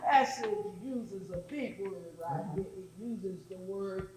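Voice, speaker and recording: A woman's voice: medium pitch, takes it slowly, a loud crowd. A woman preaching a call-and-response church sermon, emphatic and repeating phrases for effect. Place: large echoing room with an audience. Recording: echoey hall with congregation responding.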